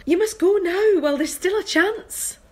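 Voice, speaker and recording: Woman playing a very high-voiced earnest Scottish farm wife. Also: normal speed. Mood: urgent, pleading. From Glasgow, Scotland. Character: earnest, wistful young Scottish farmer's wife, timid but kind. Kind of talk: homemade radio play acting.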